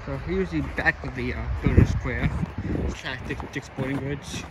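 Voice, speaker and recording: A man giving casual, rambling walk-around commentary as a vlogger, halting and repeating words as he goes. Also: medium pitch, fairly even intonation. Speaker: vlogger exploring abandoned retail stores. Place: outdoors in snowy empty retail lot. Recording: loud outdoor ambient noise.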